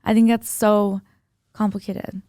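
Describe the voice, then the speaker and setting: Smooth-voiced young woman podcast co-host, close and dry. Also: medium pitch, level tone, measured pace, mellow, dry recording. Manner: slangy, conversational, frequent "like". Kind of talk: casual opinionated podcast chat.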